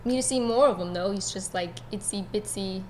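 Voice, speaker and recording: A woman's voice: mid-range voice, brisk, darkish tone, slight background noise, poor audio. A woman chatting casually as a co-host on an amateur fan podcast. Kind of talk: casual chat.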